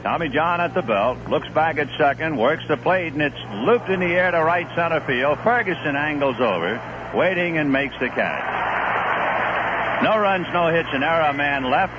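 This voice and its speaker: Lively baseball radio announcer, muffled broadcast. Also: male voice, fairly high pitch, moderate pace, crowd noise, background noise. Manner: lively, conversational, reeling off statistics. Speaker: play-by-play announcer.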